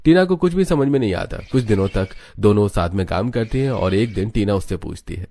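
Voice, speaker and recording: A man's voice: on the low side, lively intonation, a little fast, warm tone. A man narrating a cartoon story in Hindi from a script.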